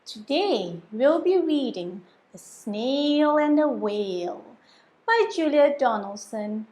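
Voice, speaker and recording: A woman with a high, lively voice reads a story to young children. She takes it very slowly, in an expressive sing-song, and pauses to coax them with simple questions, as a teacher does.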